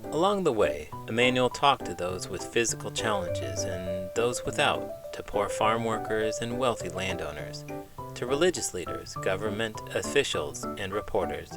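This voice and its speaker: A man reading a children's book aloud in an expressive, emphatic, measured narrating style. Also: fairly low pitch, over loud music. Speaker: children's book reader, teacher.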